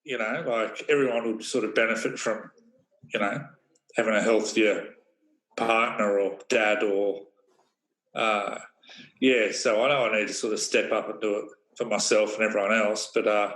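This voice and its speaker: A man taking part in a casual group call, plain-spoken and a little halting in his phrasing. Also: fairly low pitch, fairly even intonation, fairly bright tone. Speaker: program participant. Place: live online video call. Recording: roomy, echoey video-call sound.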